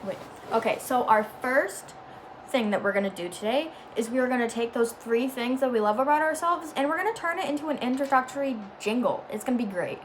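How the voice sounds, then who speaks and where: A young woman reading a book aloud to students in a calm, encouraging voice, then talking through a class activity in a quick, chatty way. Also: high voice, slight background noise. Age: young adult. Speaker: student reader.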